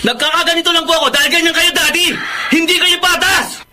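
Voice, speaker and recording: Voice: very high voice, fairly even intonation, fast talker. An actor playing a sensitive young son and older brother in a radio drama, emotional and pleading. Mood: anguished outburst. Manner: emotional, pleading, respectful to elders.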